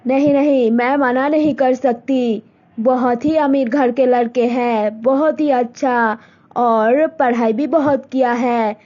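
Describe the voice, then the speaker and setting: A firm, anxious middle-aged mother as voiced by a woman speaks a little lower than the narration, with a different timbre. She is stern with her daughter and soothing to guests. Lo-fi recording. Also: steady tone, slow, dark tone.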